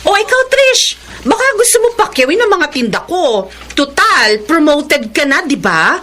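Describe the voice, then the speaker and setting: A woman's voice: high-pitched, very animated, normal speed, pushed and bright, full character voice. A woman acting a feisty, hard-working young older sister in a Filipino radio drama, with a Filipino accent. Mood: cheerfully defiant.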